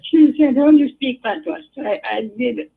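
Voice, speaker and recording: A caller with a Jamaican accent chatting casually, halting and repeating words. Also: high voice. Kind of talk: casual call-in chat. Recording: husky tinny phone line.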